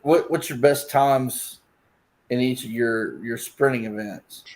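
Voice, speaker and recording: A man's voice: medium pitch, slow pace, darkish tone. Easygoing male interviewer. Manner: easygoing, repeated "okay" acknowledgments. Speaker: sports scouting interviewer. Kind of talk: casual interview questions.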